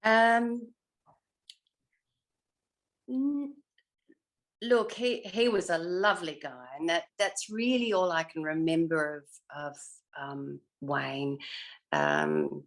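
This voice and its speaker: A woman's voice: lowish voice, expressive, slow pace, long pauses. An older woman with an Australian accent reminiscing in a casual interview, hesitant as she searches her memory. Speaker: interviewed former actor.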